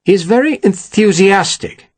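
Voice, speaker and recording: Colonel: man playing a lilting, husky, grand old-school officer, smoothing things over. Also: high voice, animated, normal speed, no room sound, voice pushed up. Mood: placating. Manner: breezy, placating, grand. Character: genteel, evasive male army colonel.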